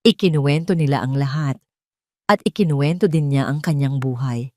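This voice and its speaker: A woman's voice: deep voice, moderate pace, mellow, no room sound, clean studio sound. A woman with a Filipino accent reading a scripted, dramatic audio story as its narrator.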